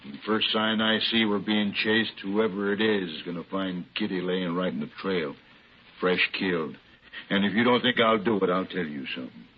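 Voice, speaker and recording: An actor playing a smug, threatening outlaw bank robber with drawling, folksy speech in a radio western. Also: male voice, low voice, moderate pace, husky. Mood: cold menace. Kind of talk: 1950s radio western acting. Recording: muffled, faintly noisy old radio recording.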